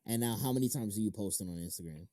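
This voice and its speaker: A man with a mellow, relaxed voice, recorded close and dry. He hosts a casual interview and talks in loose slang with plenty of swearing, hyping up his stories. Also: lowish voice, rapid-fire, no room sound. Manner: slangy, profane, hype storytelling. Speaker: interviewer, YouTube channel host.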